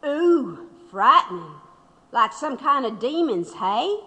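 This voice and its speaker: A woman acts a dissident who mocks her questioner in a political radio drama. Her voice is sharp, bright and a little high, with a slow, sardonic delivery in a reverberant space. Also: sing-song, exaggerated voice. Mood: mocking, defiant. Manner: mocking, deliberate. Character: defiant, sardonic female dissident under interrogation. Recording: long hall-like reverb.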